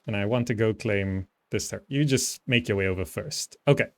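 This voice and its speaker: A man's voice: fairly low pitch, normal speed. A man giving casual live commentary as he streams a strategy game, thinking aloud as he plays. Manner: thinking aloud while playing.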